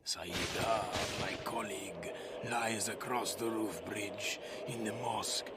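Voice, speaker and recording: Slow-speaking dying soldier. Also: male voice, on the low side. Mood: bitter, grim. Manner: weak, labored, broken phrases. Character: dying male soldier, bleeding, bitter and resigned. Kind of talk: dramatic video game cutscene acting. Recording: loud music behind the voice.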